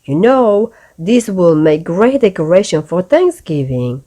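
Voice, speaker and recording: Woman playing mother cat, picture-book character, kindly, reassuring, lively intonation, slow pace. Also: lowish voice. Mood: delighted.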